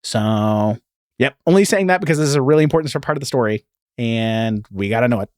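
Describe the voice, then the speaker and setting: A man's voice: mid-range voice, expressive, brisk, a little mellow, no echo, studio quality. A man hosts a podcast in a mid-range voice with very little room sound. He explains history and film plots informally, like casual storytelling. He often wanders into asides before picking the thread back up. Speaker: podcast host.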